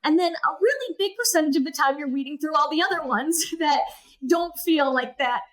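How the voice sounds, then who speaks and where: A woman's voice: sits high, a little fast. A woman in her thirties, a podcast guest, earnestly telling a personal story and acting out her past thoughts.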